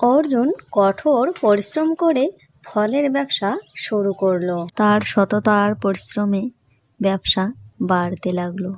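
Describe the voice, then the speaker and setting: A woman's voice: medium pitch, unhurried, a little hiss or hum. A woman narrator reading a scripted fairy tale for children.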